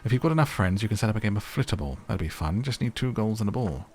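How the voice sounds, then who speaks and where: A man voicing a cheery, busy woodland animal in a video game, with a brisk, encouraging manner. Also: on the low side, lively intonation, a little mellow, close to an everyday voice.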